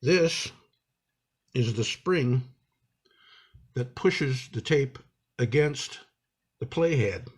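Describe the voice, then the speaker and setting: A man with a husky, slightly rough voice and medium pitch gives hands-on instructions. He speaks in an unhurried, halting way, improvising as he talks through each step of his work. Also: animated. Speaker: instructor demonstrating a repair. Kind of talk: hands-on tutorial narration, improvised.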